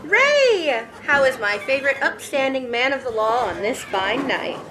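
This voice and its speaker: An actress playing a playful, flirty 1950s barmaid in a noir radio drama, teasing and chirpy. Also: female voice, sits high, very animated, unhurried, noisy background.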